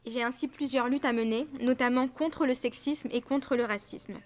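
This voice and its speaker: A young woman speaks at a conference in an earnest tone, hesitating often. Her voice sits fairly high. The recording sounds slightly dull and muffled. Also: steady tone, moderate pace. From France. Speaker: invited speaker. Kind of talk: conference talk. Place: conference room.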